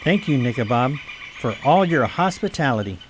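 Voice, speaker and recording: A polite young prince acted by a man in a radio fairy tale. He sounds eager and curious, with very lively intonation over faint noise. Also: medium pitch, normal speed, close to an everyday voice. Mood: curious, courteous.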